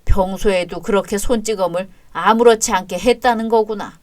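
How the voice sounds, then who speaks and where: A woman voicing a calm, kindly older father-in-law who speaks low and gently to comfort. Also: moderate pace, no room sound, lightly acted. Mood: gentle.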